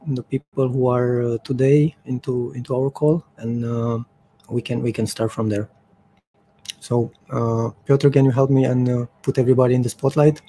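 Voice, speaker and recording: A man hosting the first episode of a podcast over an online call, talking haltingly with many fillers and restarts. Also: mid-range voice, dark tone. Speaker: podcast host.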